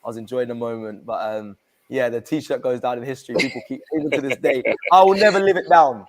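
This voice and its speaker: A man's voice: mid-range voice, fast, crowd noise. A young man, chatting casually and laughing easily as a regular guest of a football fan channel. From England. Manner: lively, slangy, laughs easily. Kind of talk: casual chat.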